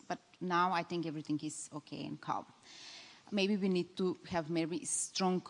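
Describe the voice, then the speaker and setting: A woman speaking as a government representative, in halting English with frequent restarts. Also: low voice. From North Macedonia. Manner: halting, non-native phrasing with restarts. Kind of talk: panel answer. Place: large conference hall. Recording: reverberant conference hall.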